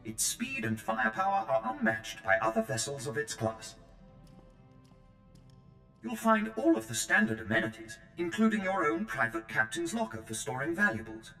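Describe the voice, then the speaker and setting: Fussy, echoing servile robot butler. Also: male voice, lowish voice, very animated, normal speed, bright, forceful tone. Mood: fussy, eager. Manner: prim, obsequious, formal, over-polite. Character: fussy, servile, nervously eager male protocol droid servant. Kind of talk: scripted game character acting. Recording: roomy echo, long reverb, faint music.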